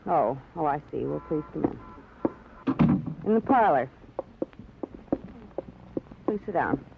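An actress playing a chatty, genteel, self-absorbed newly widowed housewife, fluttery and rambling, in a 1950s radio drama style. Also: female voice, low voice, expressive, takes it slowly, gravelly, full character voice. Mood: polite, flustered. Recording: tinny, noisy old radio recording.

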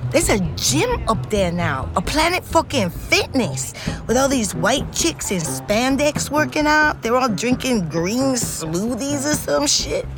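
A woman's voice: high voice, big pitch swings, unhurried, over music. An actress playing a streetwise middle-aged female ex-convict, telling her story in a profane, intense, conversational audio drama monologue. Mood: agitated. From New York City, United States.

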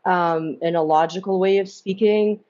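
Casting: woman guest on a video call, relaxed and musing, lowish voice, dull lo-fi audio. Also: normal speed. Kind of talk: casual chat.

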